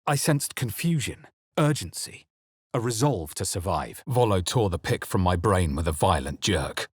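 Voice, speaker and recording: A man voicing a brooding adventurer who narrates his inner thoughts in a video game, solemn, deliberate and dramatic. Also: low-pitched, big pitch swings, husky, no room sound, studio quality, heavily acted. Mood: intense. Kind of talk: scripted first-person video game narration.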